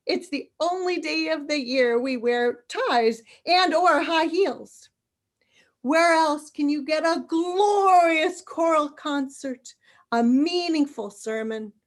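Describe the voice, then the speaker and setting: Woman playing a high-voiced, boastful holiday pitching itself. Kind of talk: scripted comic sales pitch, amateur readers' theater.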